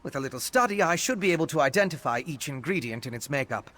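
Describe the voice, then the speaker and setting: An actor playing an earnest, inquisitive scholar in a fantasy video game, speaking in a measured, articulate, analytical way. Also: male voice, a little high, expressive, a bit bright. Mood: intrigued, analytical. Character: earnest, inquisitive male scholar investigating dark rituals.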